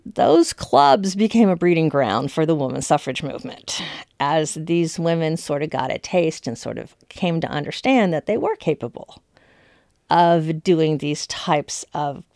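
Low-voiced woman explaining history. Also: lively intonation, unhurried, gravelly, a little mellow, no echo, studio quality. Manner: emphatic, conversational. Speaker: historian commentator. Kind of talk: informal explanatory history commentary.

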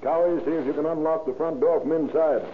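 An actor playing a brisk, authoritative deputy sheriff in a 1930s radio crime drama. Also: male voice, a little high, fairly even intonation, natural voice. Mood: commanding. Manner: brisk, authoritative, matter-of-fact. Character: businesslike male deputy sheriff leading a murder investigation. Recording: tinny old radio recording, faint noise.

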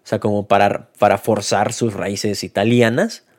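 A man's voice: fairly low pitch, flat delivery, moderate pace. A man giving a casual spoken film review, hesitant, with many fillers and restarts.

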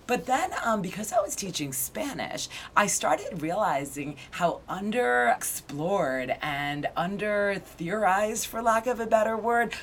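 A woman in her thirties, an author being interviewed, telling her story in a lively way with frequent restarts and "right?" tags. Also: low voice, a little hiss or hum. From Argentina. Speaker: guest, author and education researcher. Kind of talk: conversational interview.

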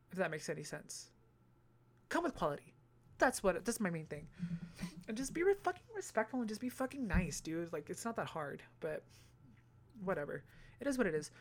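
Sassy high-voiced young man. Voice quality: high-pitched voice. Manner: animated, swears freely, sassy asides. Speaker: vlogger. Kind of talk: casual storytelling monologue.

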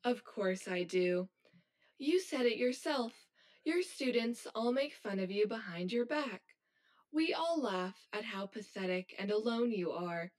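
A woman playing a cold, blunt high-achieving schoolgirl who talks in a stiff, formal, robotic way in an audio drama. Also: expressive, measured pace. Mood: cruel, mocking. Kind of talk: scripted audio drama acting.

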